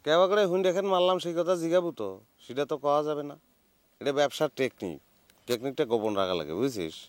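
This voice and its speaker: A man's voice: fairly high pitch, quick pace, no room sound, lightly acted. An actor playing a boastful, bossy village man in a comic TV drama, with a rural Bangladeshi dialect. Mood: smug.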